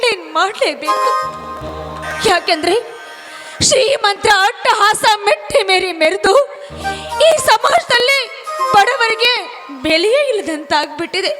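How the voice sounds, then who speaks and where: A woman playing a devoted, pleading young wife in a melodramatic stage drama, with emotional, drawn-out delivery. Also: extremely high pitch, animated. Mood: pleading, prayerful. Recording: loud music over the voice.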